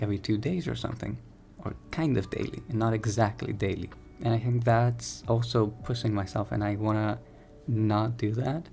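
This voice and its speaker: A young man speaks in a soothing, gravelly voice, set in a middle pitch. He rambles candidly and keeps correcting himself, in a casual podcast style recorded on a home streaming mic. A faint hiss sits under his voice. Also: mellow. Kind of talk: casual personal podcast monologue. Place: home room.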